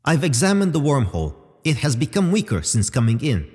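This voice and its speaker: A man's voice: medium pitch, sing-song, darkish tone, natural voice. Sci-fi audio drama robot, male actor: formal, analytical and unruffled, with swooping intonation, set in long reverb. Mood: explanatory. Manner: formal, measured, explanatory. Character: calm analytical male robot companion, precise and unhurried. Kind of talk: scripted audio drama acting.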